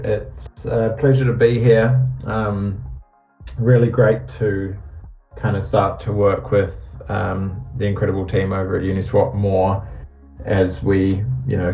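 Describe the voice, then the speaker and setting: A New man with a fairly low, mellow voice speaks as an interview guest, easy-going and full of ums and restarts. His voice sits in a roomy, echoey space, with faint music underneath. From New Zealand. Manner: relaxed, many ums and restarts. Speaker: guest, company CEO. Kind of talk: casual interview on a voice call.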